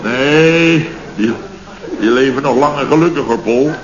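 An actor playing a boastful, blustering contractor boss in a radio comedy serial, telling stories in colloquial, indignant style. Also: male voice, medium pitch, unhurried, natural voice. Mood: amused, self-satisfied. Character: blustering, self-satisfied male building contractor boss. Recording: dull, noisy old radio recording.

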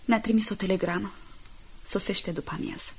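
An actress playing a bitter, despairing woman in a radio drama, speaking in a hushed, breathy, reproachful way. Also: medium pitch, fairly even intonation, a little hiss or hum, lightly acted.

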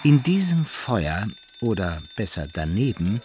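An actor narrating a radio play as a formal, mock-solemn storyteller with literary phrasing. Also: male voice, lowish voice, very animated, measured pace, noisy background. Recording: music behind the voice.

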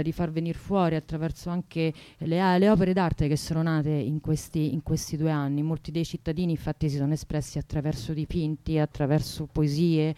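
Mellow, clear voice, halting delivery. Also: mid-range voice, dry recording. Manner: halting, searching for words. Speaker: guest presenting a theatre event. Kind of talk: informal spoken announcement on radio.

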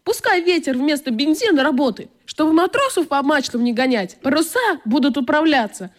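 A woman's voice: sits high, expressive, a little fast. A woman in a radio play voicing a bashful, earnest boy who is a young club instructor. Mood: animated. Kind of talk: radio drama acting.

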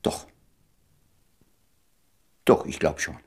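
An actor playing a tender, earnest man in love in a German radio drama, speaking softly and intimately. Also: very slow. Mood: gentle, intimate.

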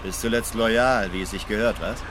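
An actor playing a cold, vengeful man who taunts deliberately and with menace in a radio drama. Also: fairly low pitch, lightly acted. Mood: cold, gloating. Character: cold, vengeful male adversary taunting a criminal. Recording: noisy outdoor traffic scene.

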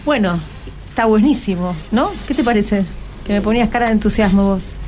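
A woman's voice: medium pitch, fairly quick, darkish tone. A woman with an Argentine Rioplatense accent hosting a casual interview, encouraging her guests with many questions. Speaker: radio host interviewing schoolchildren. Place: radio programme with schoolchildren present. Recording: noisy live radio with clear ambient noise.

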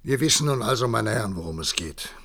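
A man's voice: on the low side, lively intonation, fast, fairly bright tone. An actor playing a stern, resolute male government leader in a radio play, speaking formally and emphatically like an orator. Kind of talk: German science-fiction radio play acting.

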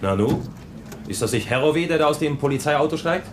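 An actor playing an anxious, loyal aide in a radio play, talking fast about trouble outside. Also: male voice, on the high side, animated, slight background noise, natural voice. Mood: worried. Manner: anxious, talkative. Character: worried, loyal male aide. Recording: crowd murmur behind.

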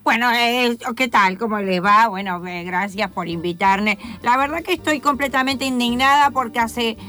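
A woman's voice: fairly high pitch, moderate pace, sharp tone. A woman playing an indignant, stammering neighbor with a grudge in an improvised comic radio play, with an Argentine accent. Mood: indignant, halting. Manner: stammering, repetitive, exasperated.

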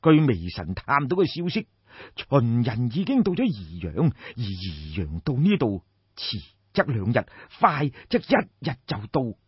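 A man voicing a grave, shrewd old royal minister in an audiobook, giving formal, deferential counsel in measured, deliberate phrases. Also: medium pitch, wildly swooping pitch, husky, no room sound, highs cut off, heavily acted.

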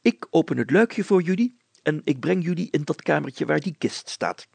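A man voicing an excitable, stubborn, self-satisfied small-town mayor with a blustery manner in a story. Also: on the high side, moderate pace, creaky, husky, dry recording, voice pushed up, full character voice. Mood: insistent, refusing.

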